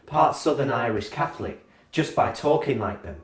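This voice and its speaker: English-raised man, earnest questioning monologue, roomy echo, mid pitch. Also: sing-song, moderate pace. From England. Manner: earnest, asks questions one after another. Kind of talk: scripted reflective monologue.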